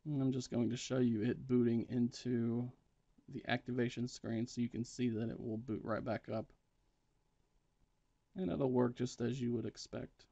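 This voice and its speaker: A man's voice: mid-range voice, level tone, moderate pace, warm tone, dry recording. Man giving a dry, muffled tech tutorial. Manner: plain, instructional, matter-of-fact. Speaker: tutorial presenter. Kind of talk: step-by-step spoken tech tutorial.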